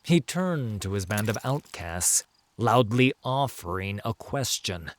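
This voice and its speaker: A man narrating a scripted fantasy story in a theatrical, measured and emphatic way. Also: fairly low pitch, lively intonation. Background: music.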